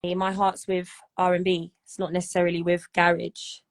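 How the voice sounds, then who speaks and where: A woman's voice: lowish voice, monotone, normal speed. A woman in her thirties, a singer, chatting casually in an interview and often checking "do you know what I mean?". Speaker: singer and guest.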